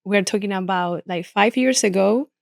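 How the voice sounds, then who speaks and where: A woman's voice: medium pitch, normal speed, vocal fry, dry recording, clean studio sound. A woman hosting an architecture podcast, introducing and encouraging her guest. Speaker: podcast host. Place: studio.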